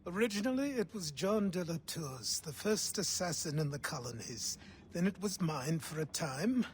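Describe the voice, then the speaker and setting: An actor playing a dignified old mentor assassin in a video game, speaking in a reflective, solemn way. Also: male voice, on the high side, lively intonation, normal speed, a little hiss or hum, lightly acted. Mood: wistful, solemn. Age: older. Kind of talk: video game cutscene acting.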